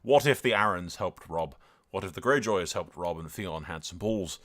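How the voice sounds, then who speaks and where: Animated, bright-voiced man commentating on a game. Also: sits low, moderate pace. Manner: excitable, sweary, chatty. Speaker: gaming video host. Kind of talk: casual gameplay commentary with roleplay storytelling.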